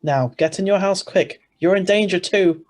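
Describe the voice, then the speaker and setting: An actor playing a tense, protective husband in an old-style radio thriller, urgent and plain-spoken. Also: male voice, high-pitched, normal speed, darkish tone, natural voice. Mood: urgent calling. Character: tense, protective ordinary husband, hard-boiled narrator. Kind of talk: 1940s-style radio drama acting and narration. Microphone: video call.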